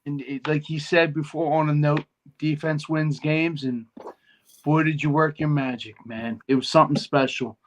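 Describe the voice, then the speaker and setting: A man, co-hosting a casual sports chat, talking in a heartfelt, soft-spoken way. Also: a little high, fairly even intonation, moderate pace, a little hiss or hum. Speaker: podcast co-host.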